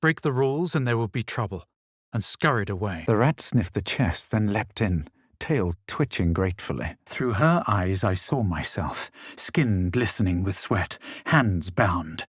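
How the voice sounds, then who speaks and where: A man voicing a self-assured, sardonic male adventurer in a fantasy video game, with a measured, superior delivery. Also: on the low side, animated, husky, no room sound, clean studio sound. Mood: grave, reflective. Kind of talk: video game voice lines, narration and spell shouts.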